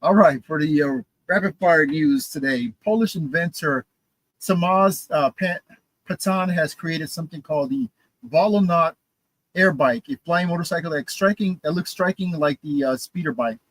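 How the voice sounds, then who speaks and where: A man co-hosting a casual podcast chat and reading out news items, animated and halting, with many fillers. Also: fairly high pitch, no room sound, low-quality recording. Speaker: podcast co-host.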